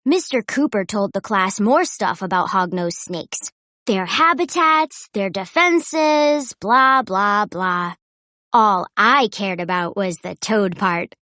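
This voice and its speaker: A woman reading a children's storybook aloud in an expressive, playful way. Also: mid-range voice, slow, dry recording, studio quality. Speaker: children's book reader. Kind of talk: storybook read-aloud narration.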